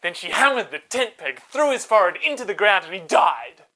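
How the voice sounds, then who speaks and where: A woman narrating a scripted children's Bible story in a dramatic, expressive way. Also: mid-range voice, a little fast.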